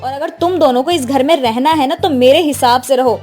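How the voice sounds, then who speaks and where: A woman acts a spoiled young city wife in a cartoon moral story. Her voice is high and insistent, with haughty phrasing over faint music. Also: fairly quick, darkish tone, lightly acted. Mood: contemptuous.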